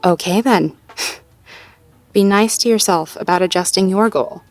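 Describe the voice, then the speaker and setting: A woman playing a supportive, playful girlfriend in an intimate one-sided roleplay audio, talking gently and encouragingly with light teasing and natural hesitations. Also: mid-range voice, measured pace, soft background music, close to an everyday voice. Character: supportive, playful girlfriend helping partner problem-solve.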